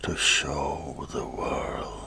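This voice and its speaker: Man playing a very slow, whispering menacing asylum doctor. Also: a bass voice, level tone, sharp tone, full character voice. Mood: mocking, contemptuous. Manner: slow, deliberate, mocking. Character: menacing, condescending male head doctor of an asylum. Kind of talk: whispered ASMR horror roleplay.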